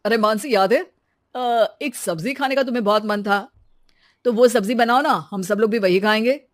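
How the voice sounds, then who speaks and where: A woman's voice: fairly high pitch, normal speed, dry recording, clean studio sound, natural voice. A woman voicing a young husband in a story, blunt and casual, speaking emphatically. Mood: pleased. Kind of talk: animated Hindi moral story dialogue.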